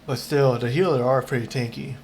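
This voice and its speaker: A man's voice: mid-range voice, steady tone, a little fast, darkish tone, a little hiss or hum. Rambling man streaming casual gameplay. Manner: hesitant, rambling, repeats his words.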